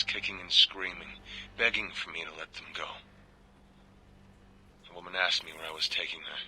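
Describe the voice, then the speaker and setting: An actor playing a grim, guilt-ridden military agent who confides in a video game audio log, grave and weary. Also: male voice, low-pitched, bright, forceful tone, low-quality recording. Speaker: soldier turned government agent.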